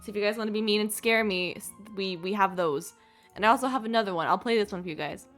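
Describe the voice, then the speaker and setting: A woman's voice: mid-range voice, fast talker, fairly bright tone, soft background music. A woman livestreaming a game with casual, giggly chat and an easily flustered manner.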